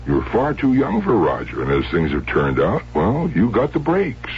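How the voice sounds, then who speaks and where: A man's voice: deep voice, huge pitch swings, moderate pace, heavily acted. An actor playing a fond, protective middle-aged father with an American accent and a hesitant, placating manner in a 1970s radio drama. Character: fond, protective widowed father of two grown daughters. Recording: muffled, hissy old radio broadcast with hum.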